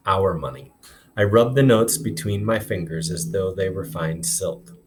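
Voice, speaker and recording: A man's voice: deep voice, measured pace, noisy background. A man reading a story aloud as a teacher, with informal, instructive explanations along the way. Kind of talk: expressive read-aloud narration with explanatory asides.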